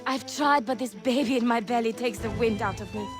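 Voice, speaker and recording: An actress voicing a polite, gentle young homestead wife who is expecting a baby, in a video game. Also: female voice, on the high side, fairly quick, over music, close to an everyday voice. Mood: warm. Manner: polite, apologetic.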